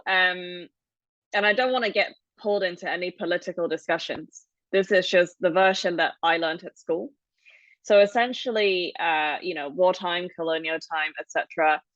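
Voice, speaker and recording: A woman's voice: on the low side, moderate pace, fairly bright tone, dry recording, dull audio. A woman in her thirties from Hong Kong, co-hosting a podcast and telling her family's story in a thoughtful, explanatory way. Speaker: podcast co-host. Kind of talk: casual podcast conversation.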